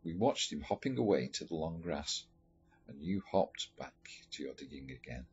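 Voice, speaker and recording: A man reading a letter story aloud in a measured, expressive storytelling manner. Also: very deep voice, breathy edge. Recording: dull lo-fi recording with music behind. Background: background music.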